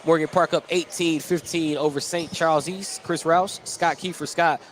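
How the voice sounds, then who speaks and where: Man, slightly high voice, live hoops commentary, chuckles between calls, noisy gym broadcast. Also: steady tone, moderate pace. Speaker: play-by-play announcer. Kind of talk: live basketball radio commentary. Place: indoor basketball gym, courtside. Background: game noise, bouncing basketball.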